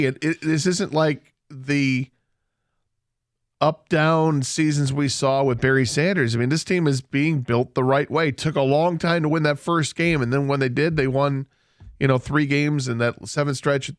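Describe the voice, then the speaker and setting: A man co-hosting sports radio, talking in a measured, analytical way with fillers. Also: a little high, steady tone, dry recording, clean studio sound. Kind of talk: casual sports talk. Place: radio studio.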